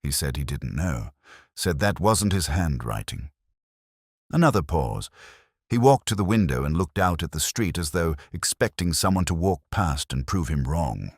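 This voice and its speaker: A man playing a weary, middle-aged literary police inspector, narrating his case in a measured, reflective detective-drama style. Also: very deep voice, sing-song, dry recording, clean studio sound, heavily acted. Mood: brooding, measured. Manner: measured, brooding, literary delivery. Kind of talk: scripted first-person detective narration.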